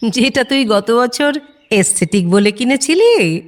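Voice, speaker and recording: An actress playing an affectionate, mischievous grandmother in a Bengali audio drama, teasing and wry in an unhurried way. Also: fairly high pitch, very animated.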